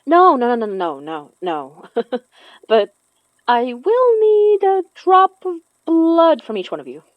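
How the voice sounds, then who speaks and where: A woman playing a steady, protective young spell caster in an audio horror drama, with casual, natural delivery. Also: high voice, slow pace, dry recording, exaggerated voice. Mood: earnest. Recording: dry, slightly muffled studio.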